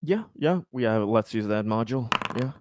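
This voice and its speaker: A man's voice: medium pitch, lively intonation, normal speed. A man playing a tabletop roleplaying game, chatting casually with the group. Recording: noisy mic with clicks.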